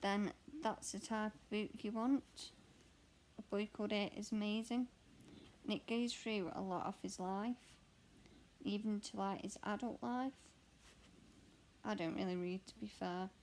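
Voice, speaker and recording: Young woman, croaky, gravelly, slow, rambling casual chat. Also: mid-range voice, steady tone, a little mellow, a little hiss or hum. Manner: chatty, rambling, candid. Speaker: cross stitch vlogger and mother. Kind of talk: casual chat answering a question tag while stitching. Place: at home.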